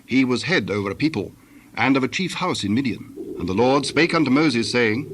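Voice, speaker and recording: A male narrator reads scripture in a mid-pitched voice with faint ambient noise behind him. His delivery is formal and measured, as scripted storytelling. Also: lively intonation, a bit bright.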